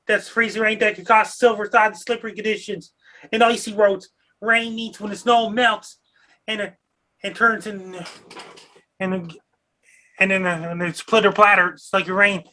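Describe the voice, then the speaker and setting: A man with a high, bright and forceful voice talks through weather in simple terms. His phrasing is halting and repetitive, with an earnest delivery. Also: level tone. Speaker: weather show contributor. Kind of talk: simple explanatory weather talk.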